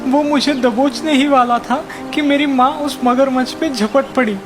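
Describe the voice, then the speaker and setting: A woman voicing a gentle, wise young magical deer in a children's cartoon, earnest and gently chiding. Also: sits high, steady tone, normal speed, music in the background, lightly acted. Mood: sorrowful. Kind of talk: Hindi children's cartoon dialogue.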